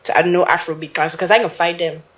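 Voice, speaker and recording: A woman's voice: on the low side, steady tone, quick pace. A Guyanese woman chatting casually as a guest, informal and slangy. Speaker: talk show guest. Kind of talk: casual chat.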